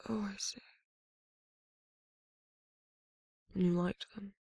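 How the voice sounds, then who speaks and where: A performer voicing a tender, courtly vampire lover in an ASMR romance roleplay, breathy and often whispering, with slow, soothing, formal speech. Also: mid-range voice, fairly even intonation, warm tone, no room sound. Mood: concerned, wry.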